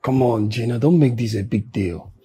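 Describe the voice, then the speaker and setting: A man's voice: medium pitch, moderate pace, dark tone, natural voice. Male actor as bachelor guy, mid voice, blunt n dismissive, film dialogue, faint music. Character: marriage-averse bachelor wanting children, blunt and casual. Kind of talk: Nollywood film acting.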